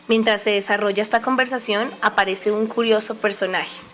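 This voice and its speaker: A woman's voice: medium pitch, steady tone, fairly quick, a bit bright, lightly acted. A woman playing the explanatory narrator of an amateur educational radio play, reading from a script. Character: explanatory female narrator who joins the story.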